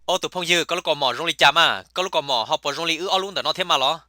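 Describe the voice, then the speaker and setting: A man voicing a comic male cartoon character who chatters in a rapid, emphatic way. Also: a little high, very noisy, lightly acted. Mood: chatty.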